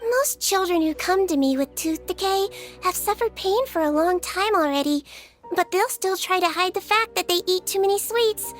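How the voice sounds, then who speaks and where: A woman voicing a small, gentle, girlish head nurse in a video game, polite and measured. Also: very high-pitched, animated, soft background music. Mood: thoughtful.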